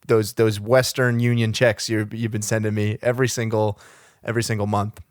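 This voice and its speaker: Man playing smooth, brazen, money-grubbing Hollywood agent. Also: on the low side, level tone, dry recording, studio quality, lightly acted. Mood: brash, evasive. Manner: slick, blustering, halting double-talk. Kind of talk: improvised comedy podcast role-play.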